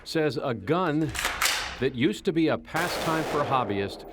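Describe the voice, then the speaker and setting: A man's voice: fairly high pitch, animated, measured pace, very noisy. A man with an American accent reading a scripted television news report as the reporter.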